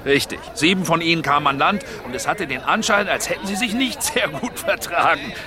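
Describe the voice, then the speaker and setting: An actor playing a brash, scheming sea trader in a radio drama, boastful, coaxing and coarse. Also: male voice, fairly high pitch, animated, brisk, close to an everyday voice. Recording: busy outdoor crowd behind. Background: outdoor crowd bustle.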